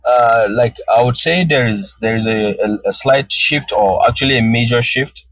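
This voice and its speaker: A man's voice: mid-range voice, moderate pace, a bit bright, dry recording, poor audio. A man from northern Nigeria whose first language is Hausa, answering interview questions thoughtfully and often restarting his phrases. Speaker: guest photographer.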